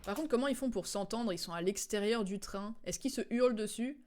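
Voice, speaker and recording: A woman streams casual commentary over game cutscenes. Her voice sits at an average pitch. She recaps in a loose, halting ramble and sounds amused. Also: background noise.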